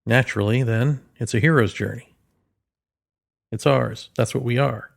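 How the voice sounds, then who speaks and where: A man's voice: mid-range voice, moderate pace, gravelly. A man speaks alone in a mid-range voice, giving an opinionated, philosophical commentary. His delivery is sardonic and rhetorical. He poses questions to the listener and repeats phrases for emphasis. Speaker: commentator delivering a solo monologue.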